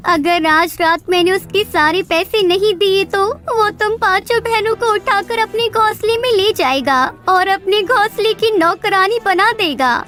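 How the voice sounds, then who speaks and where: A woman voicing an anxious, earnest and gentle mother bird in a children's cartoon story, with a pleading manner. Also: very high voice, a little slow, few pauses. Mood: worried, pleading.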